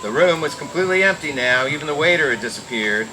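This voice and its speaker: A man playing a world-weary, wisecracking private detective in radio noir style, reading a little haltingly. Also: on the high side, lively intonation, background noise, lightly acted. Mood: brooding narration. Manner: halting read with stumbles and wry asides. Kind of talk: 1940s-style radio noir acting and narration.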